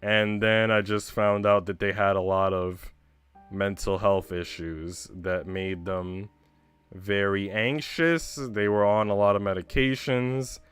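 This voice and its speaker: A man's voice: fairly low pitch, steady tone, takes it slowly, fairly bright tone, clean tone. A man hosting a livestream, talking casually and candidly to his viewers in a chatty way with some casual swearing. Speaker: livestream host. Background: background music.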